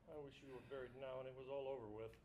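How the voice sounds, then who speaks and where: A man's voice: little pitch movement, fairly quick, a little mellow, lightly acted, poor audio. A man playing a whiny, hapless, scheming husband in a comic stage dramatization, peevish and self-justifying, with a stammer in his delivery. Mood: sulky, insistent. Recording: live stage recording with rumbling background noise.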